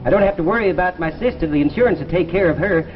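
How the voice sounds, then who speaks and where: An actor playing an eager, anxious young lab assistant who travels through time, earnest and stammering, in 1950s sci-fi drama style. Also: male voice, sits high, rapid-fire, close to an everyday voice. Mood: pleading. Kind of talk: 1950s radio-era sci-fi drama acting. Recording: dull vintage broadcast, long reverb, music behind.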